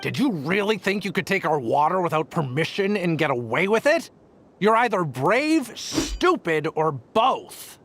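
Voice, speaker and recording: Mocking rival tribesman, highish lively voice, accusing. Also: a little slow, slight background noise, exaggerated voice. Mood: taunting. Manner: taunting, accusing. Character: menacing rival tribesman confronting a thief. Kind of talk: game cutscene voice acting.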